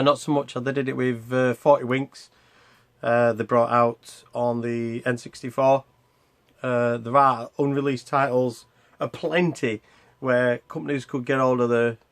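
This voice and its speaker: A man hosting a retro gaming vlog in a casual, unscripted way, rambling and hesitant as he interrupts himself. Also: medium pitch. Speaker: host of a retro gaming video channel.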